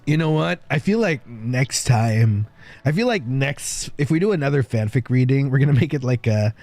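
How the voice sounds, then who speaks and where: A man livestreaming in a playful, casual way, chatting and reading stories aloud with a husky voice. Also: medium pitch, lively intonation, normal speed, darkish tone, dry recording. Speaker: livestreamer, virtual streamer. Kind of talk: casual livestream chat and fan fiction reading. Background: background music.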